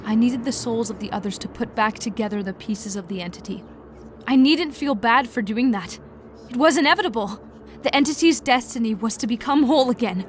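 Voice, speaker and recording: A woman's voice: a little high, fairly quick, natural voice. A woman playing an embittered, obsessive young villainess in a fantasy audiobook, intense and self-justifying. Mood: bitter, intense. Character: embittered, obsessive young woman, dangerous, power-hungry twin sister. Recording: clear ambient noise behind voice.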